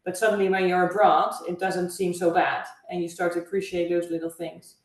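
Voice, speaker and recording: A woman from the Netherlands speaks English with a Dutch accent, calm and measured, pausing on small fillers as she explains. Her voice sits a little low. The recording sounds distant and roomy, with strong echo. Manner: measured, thoughtful, with frequent uh fillers. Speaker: guest, travel agency owner. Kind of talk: interview answers. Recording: echoey room, far from mic.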